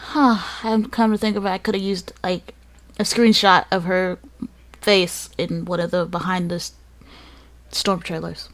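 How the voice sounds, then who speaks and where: A woman's voice: on the low side, little pitch movement, measured pace. A woman hosting a gaming series with casual, sweary commentary, switching between mock-dramatic announcing and offhand asides. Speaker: host of a gaming channel series. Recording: music behind the voice. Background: music.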